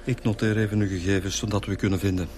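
A man's voice: on the low side, flat delivery, racing, darkish tone, slight background noise, close to an everyday voice. A man with a Flemish accent playing a wry, casual police inspector in a radio crime drama. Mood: businesslike. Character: wry, jokey male homicide detective inspector. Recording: music under the dialogue.

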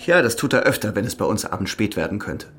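An actor playing a brooding ex-convict husband in a German radio crime drama, speaking in a terse, withdrawn way. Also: male voice, fairly low pitch, sing-song, fast, fairly bright tone. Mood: guarded, dry. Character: guarded, brooding ex-convict husband, bitter and tense within.

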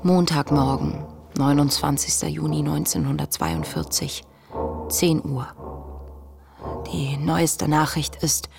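Radio drama diarist: young woman, searching and contemplative, deep register with plenty of fry. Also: lively intonation, heavily acted. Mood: quietly resolute. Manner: contemplative, measured, intimate. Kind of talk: radio play, diary read as monologue. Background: music.

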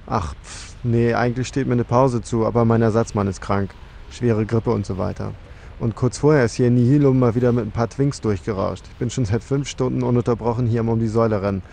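A man's voice: medium pitch, steady tone, a little fast, creaky, warm tone, slight background noise, close to an everyday voice. A man playing a chatty, matter-of-fact giant monster in a German fantasy parody audio drama. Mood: weary, casual.

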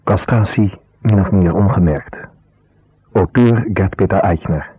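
Deep raspy guy announcing radio credits, formal, dull dry 70s recording. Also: a little slow, dry recording. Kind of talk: formal scripted credits reading.

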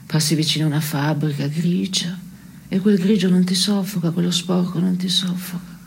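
Creaky, sardonic, disenchanted woman. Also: lowish voice, steady tone, normal speed, slight background noise. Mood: bitter. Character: disenchanted, caustic woman sparring with her male partner. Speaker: stage actress performing a two-person play. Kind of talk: absurdist theatre dialogue.

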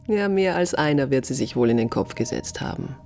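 An actress playing a coarse, teasing village prostitute in a radio drama, with a husky, drawling, chuckling delivery. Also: female voice, fairly low pitch, dark tone, slight background noise. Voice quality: husky, breathy. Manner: drawling, taunting, breaks into chuckles and half-whispers.

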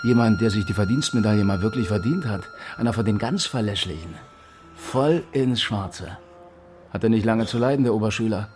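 An actor playing a menacing East German state officer in a radio drama, taunting and sarcastic with drawn-out emphasis. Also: male voice, lowish voice, background noise, lightly acted. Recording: music behind the voice.

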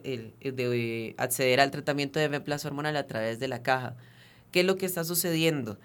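A man's voice: fairly high pitch, fairly bright tone. Earnest Venezuelan man explaining at length. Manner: earnest, detailed, with frequent fillers and restarts. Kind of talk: radio interview, explanatory.

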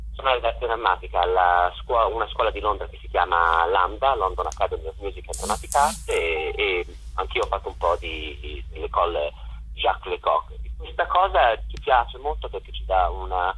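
A man's voice: deep voice, pushed and bright, breathy edge, poor audio. A man answering interview questions on the radio as a theatre director and actor, heard as if over a telephone.

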